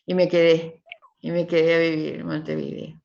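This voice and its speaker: A woman's voice: completely flat delivery, normal speed, creaky, a little mellow. A middle-aged woman with a Uruguayan accent, a university professor chatting informally with her class in a rambling way and repeating her phrases. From Uruguay, from Tacuarembó. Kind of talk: informal online class introduction. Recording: muffled low-fidelity class recording.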